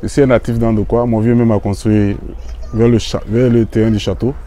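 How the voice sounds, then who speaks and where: An Ivorian man speaks outdoors to a gathered neighborhood, his voice very dark and mellow. He is earnest and halting, repeating phrases and restarting sentences as he addresses the crowd. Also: medium pitch, little pitch movement. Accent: Ivorian French. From Côte d'Ivoire. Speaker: visiting association official. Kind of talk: emotional outdoor speech to a community.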